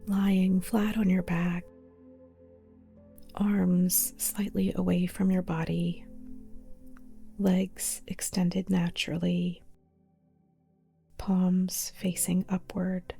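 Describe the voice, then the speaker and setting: A woman guiding a relaxation meditation in a soft, breathy near-whisper, calm and soothing, with short spaced phrases. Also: on the low side, very slow, pauses often. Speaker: yoga nidra meditation guide. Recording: modest older mic, music behind.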